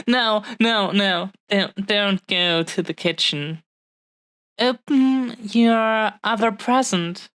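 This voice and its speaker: A woman's voice: medium pitch, no room sound, clean studio sound. A woman voicing an affectionate, possessive dragon-woman fiancée in an intimate romantic audio roleplay, with tender, lingering delivery. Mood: playful, affectionate. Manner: tender, unhurried, pausing delivery. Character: affectionate, possessive young dragon-woman fiancée cherishing her beloved treasure.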